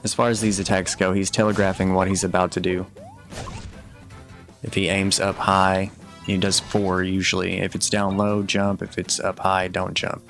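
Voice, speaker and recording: A man giving casual, matter-of-fact video game walkthrough commentary in a low-key instructional way. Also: level tone, moderate pace, a bit bright. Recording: voice over game music. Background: video game music.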